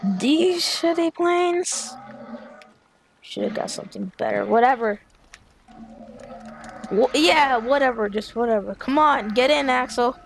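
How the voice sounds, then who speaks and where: An excitable, dramatic young player improvising a roleplay while playing a video game. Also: high voice, slow, creaky, pauses often, a little hiss or hum.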